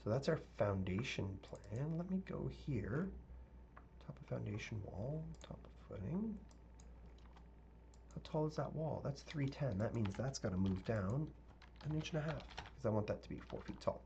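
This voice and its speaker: A male architect teaching a live software tutorial, talking casually with viewers and thinking aloud with fillers; his voice is a little raspy. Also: medium pitch, expressive, moderate pace, muffled recording, a little hiss or hum. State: slightly raspy voice, clearing throat.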